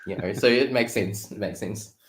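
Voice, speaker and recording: A man's voice: on the low side, normal speed. A man in his thirties chatting casually and somewhat hesitantly as a guest, recorded with room echo. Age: 30s. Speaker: guest card reader. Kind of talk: casual chat. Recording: roomy echo.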